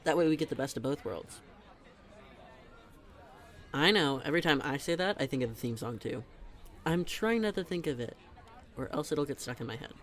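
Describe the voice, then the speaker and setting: A young man plays a best friend in an intimate audio roleplay, teasing and talkative. His voice sits on the high side for a man. The delivery is conversational and animated, with casual, emphatic phrasing. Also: normal speed, long pauses, some chatter behind. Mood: upbeat, friendly. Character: teasing, chatty best friend with a hidden crush. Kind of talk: conversational scripted roleplay monologue.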